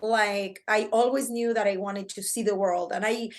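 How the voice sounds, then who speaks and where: A middle-aged woman from Argentina with an Argentine Spanish accent, chatting as a podcast guest in an excitable, talkative way with many fillers. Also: mid-range voice, normal speed. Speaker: podcast guest, branding coach. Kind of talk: casual interview chat.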